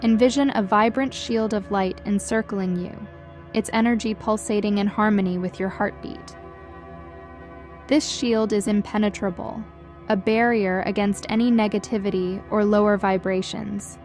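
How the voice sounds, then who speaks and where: A woman reading a scripted guided meditation in a gentle, soothing, measured way, as a guide leading listeners. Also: medium pitch, steady tone. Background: background music.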